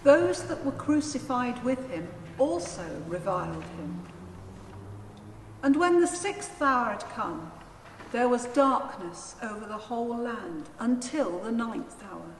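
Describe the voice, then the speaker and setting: Female Gospel narrator: measured, highish voice, widely varied pitch, echoing church. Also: lively intonation. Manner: measured, deliberate. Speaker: scripture reader, narrator. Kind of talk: solemn dramatised Gospel narration. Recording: echoey church hall, faint noise.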